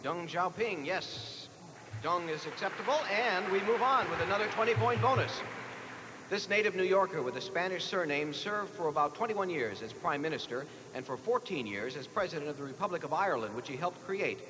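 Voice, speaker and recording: American man, quiz show host, slightly high voice, live question reading, reverberant hall, noticeable noise. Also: lively intonation, fairly quick. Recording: echoey hall, clear background noise.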